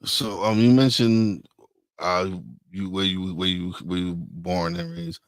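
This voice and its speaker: Deep-voiced host with a dry sound. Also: male voice, lively intonation, unhurried, smooth, dry recording. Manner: hesitant, repeats words while asking. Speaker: podcast host. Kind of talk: interviewing a guest.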